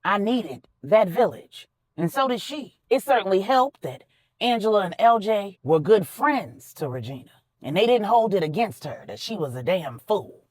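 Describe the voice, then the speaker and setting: Female narrator for colloquial fiction; animated delivery, emphatic and conversational, in a dry recording. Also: medium pitch, moderate pace, no echo. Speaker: storyteller, author narrating own fiction. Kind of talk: expressive audiobook-style storytelling with colloquial phrasing.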